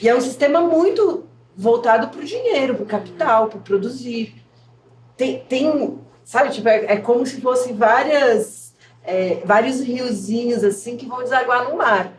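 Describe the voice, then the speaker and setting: A woman's voice: on the high side, lively intonation, a little slow. A woman from a theater company speaking passionately and searching for her words in a casual discussion. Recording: distant mic in an echoey, noisy room.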